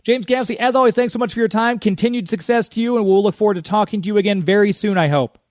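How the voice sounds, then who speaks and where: High-voiced male radio host, dry sound. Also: fast talker, no echo. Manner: friendly, encouraging. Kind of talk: upbeat interview hosting.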